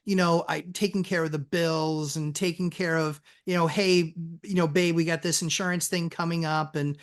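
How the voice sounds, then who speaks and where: A married man and real estate investor, talking earnestly as a podcast guest and telling his story in quick bursts. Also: a little high, steady tone, smooth. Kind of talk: casual podcast chat.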